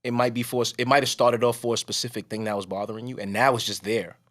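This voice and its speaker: A man's voice: medium pitch, steady tone, fast talker, fairly bright tone. A man hosting a podcast, talking casually with a strong voice, rambling and restarting his sentences. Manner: loose, rambling, with many restarts. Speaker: podcast host. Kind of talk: casual conversation.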